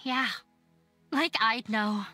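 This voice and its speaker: A woman's voice: on the high side, extremely slow, pushed and bright, full character voice. A woman voicing a downcast, self-blaming young student in a video game, with a resigned, hesitant manner. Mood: bitter, resigned. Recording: game music underneath.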